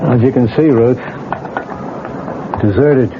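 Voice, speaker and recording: A man playing a calm, skeptical police lieutenant. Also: mid-range voice, slow pace, loud music behind the voice, lightly acted. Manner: calm, coaxing, matter-of-fact. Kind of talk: 1970s radio drama acting. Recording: tinny old radio broadcast with hiss.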